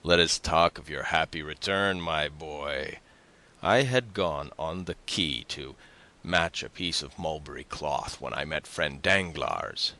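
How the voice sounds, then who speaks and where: A man's voice: a bass voice, slow, sharp tone, exaggerated voice. A man voicing a hearty, sly young tailor in an audiobook, effusive and overfamiliar. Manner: effusive, familiar.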